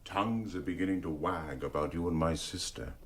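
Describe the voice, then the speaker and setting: An actor playing a brisk, stern, paternal village doctor who gives firm advice in a radio drama. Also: male voice, low-pitched. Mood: firm, grave.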